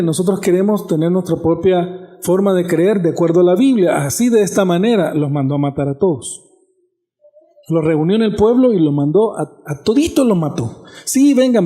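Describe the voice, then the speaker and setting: Male pastor, high pitch, warm mellow tone, conversational teaching, frequent restarts. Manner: conversational, restarts phrases, asks "¿verdad?". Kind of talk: sermon teaching on church history.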